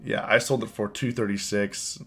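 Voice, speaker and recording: A man with a cold and a hoarse voice, hosting a casual live stream and chatting with his viewers, often repeating his words. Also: on the low side, steady tone, normal speed, fairly bright tone. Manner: rambling, repeats words, reads chat aloud. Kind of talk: casual live stream chat about trading.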